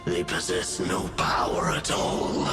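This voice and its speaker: An actor playing an arrogant, contemptuous abyssal monster in a video game, with grandiose, theatrical, taunting delivery. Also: male voice, low voice, level tone, takes it slowly. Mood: scornful taunting. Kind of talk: scripted video game villain acting. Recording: very echoey long reverb, music behind.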